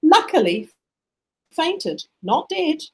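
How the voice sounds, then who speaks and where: A woman with a high voice narrates a rhyming picture book for children. Her delivery is expressive and rhythmic, rising and falling in a lively sing-song. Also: takes it slowly. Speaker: storybook reader.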